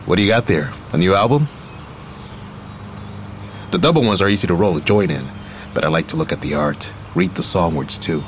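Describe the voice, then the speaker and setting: An actor playing a streetwise, middle-aged ex-convict uncle in a radio play, rambling, candid and affectionate. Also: male voice, deep voice, lively intonation, a little fast, pauses often, a little hiss or hum. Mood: wistful, casual. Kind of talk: naturalistic radio play acting.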